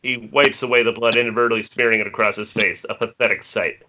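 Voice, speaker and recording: A man's voice: medium pitch, fairly even intonation, fast talker, bright, forceful tone. A man reading stage directions as scripted narration in an amateur screenplay table read. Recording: dull, noisy internet call with clicks.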